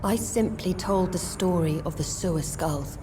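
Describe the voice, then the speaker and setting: Woman as an ancient low-voiced monster crone. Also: a little slow, lightly acted. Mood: grave, guarded. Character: ancient wise female sewer-dwelling monster, centuries old, guarded. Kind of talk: video game cutscene acting. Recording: loud music behind the voice.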